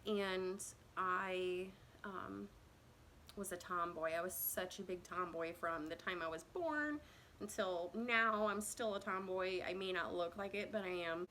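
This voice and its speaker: A woman's voice: lowish voice, unhurried, pushed and bright, a little hiss or hum, rough recording. A woman in her thirties from Indiana tells her own story to a phone camera in a casual, rambling way, with many fillers and self-conscious laughs. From Indiana, USA. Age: 30s.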